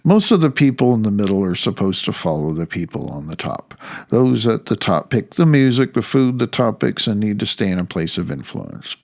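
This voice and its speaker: A man's voice: fairly low pitch, very animated, normal speed, creaky, darkish tone. A man in his fifties reading his own reflective audiobook essay, with wry, emphatic phrasing.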